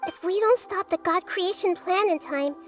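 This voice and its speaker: A woman voicing a gentle, wise, childlike goddess in a video game, speaking in a soft girlish voice, thoughtful and measured. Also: extremely high pitch, faint music behind.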